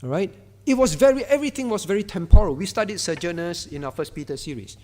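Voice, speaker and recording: A man's voice: high voice, animated, fast talker, dark tone. A male pastor, preaching a sermon in a conversational way and often turning to the congregation. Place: church hall. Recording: reverberant church hall.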